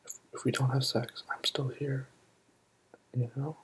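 A roleplay performer in a soft, soothing ASMR whisper, playing a comforting partner and repeating gentle reassurances. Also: medium pitch, flat delivery, a little slow, low-quality recording. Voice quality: breathy whisper.

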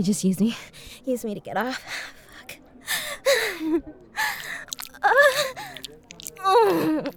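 Adult female restaurant worker, played by a woman, erotic audio drama: exasperated, sweary, very high pitch, slow delivery with wide swings. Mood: exasperated, impatient, then aroused, urgent. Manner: breathy exclamations, pleading, swearing. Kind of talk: explicit erotic audio roleplay.

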